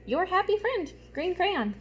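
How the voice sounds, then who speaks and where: A woman's voice: extremely high pitch, big pitch swings, a little slow, pitched above the performer's own voice, full character voice. A woman voicing a happy, diplomatic green crayon from a picture book, formal and courteous. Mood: cheerful, polite.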